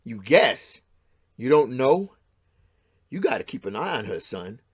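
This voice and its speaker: A man's voice: mid-range voice, very animated, a little slow, breathy edge. An actor playing a crude, menacing middle-aged father in an audio play, with swaggering, goading macho talk. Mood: sly, probing. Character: menacing, crude middle-aged father, estranged husband, needling his son.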